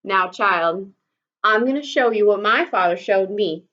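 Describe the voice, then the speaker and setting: A woman voicing a gentle, thoughtful old grandfather in a storybook, in slightly dull audio. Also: medium pitch, a little slow, lightly acted. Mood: quiet, tender.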